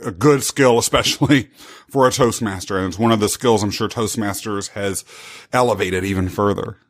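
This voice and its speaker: A man's voice: lowish voice, normal speed. A man hosting a podcast interview with an upbeat, effusive manner. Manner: upbeat, effusive, laughs easily. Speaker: podcast host.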